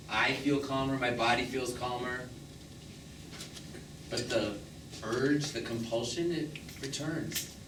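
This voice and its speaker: Guy playing a restless younger man confessing on stage, defensive, halting, echoey room, noisy. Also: medium pitch, natural voice. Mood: uneasy. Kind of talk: live stage play monologue. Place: small theatre stage, recorded from the audience. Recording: echoey theatre from far off, noisy.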